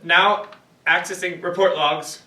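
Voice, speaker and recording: A woman voicing a sentimental theme park computer in a staged reading of a cartoon script, with deliberate announcing delivery. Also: on the low side, fairly even intonation, sharp tone. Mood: dutiful, businesslike. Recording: echoey room, distant mic.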